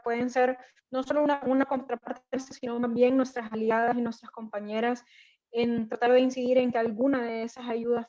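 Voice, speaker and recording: The sound is a dull, lo-fi online call. A woman with a fairly high voice moderates a panel. She is courteous and slightly hesitant in her delivery. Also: darkish tone.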